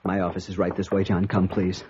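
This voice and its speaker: A man's voice: sits low, completely flat delivery, fairly quick, noisy background, lightly acted. An American actor playing a fussy, long-winded, pompous insurance company man who rambles and gets sidetracked, in a 1950s radio drama style. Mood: flustered. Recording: dull old radio recording.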